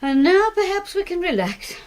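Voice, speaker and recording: A woman playing a composed, dry-witted doctor and amateur sleuth in a radio mystery, speaking in a measured, articulate, wry way. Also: very high voice, very animated. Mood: dryly arch. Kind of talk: British radio mystery drama acting.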